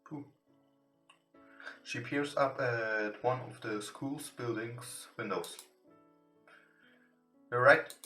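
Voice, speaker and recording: A man who is not a native English speaker reads visual novel text aloud as a let's play host, haltingly and with stumbles over words. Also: medium pitch. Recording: roomy echo, distant from mic. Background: soft guitar game music.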